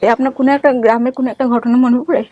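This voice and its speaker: Mellow, quick-speaking high-voiced woman. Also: steady tone, no room sound, lo-fi sound. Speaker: guest seeking family. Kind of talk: earnest conversational questioning.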